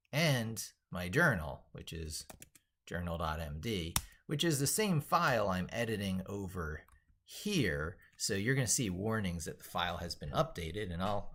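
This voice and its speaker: Deep-voiced man thinking aloud on a livestream. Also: fairly bright tone. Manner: rambling, thinking aloud while working at computer. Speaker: livestream host, tech educator. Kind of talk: casual livestream screen walkthrough with reading aloud.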